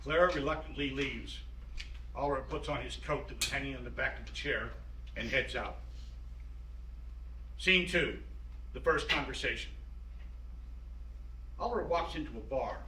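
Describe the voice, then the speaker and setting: A man's voice: medium pitch, moderate pace, long pauses, pushed and bright. A man reading scripted narration and stage directions for a play. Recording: roomy, distant mic, audible noise.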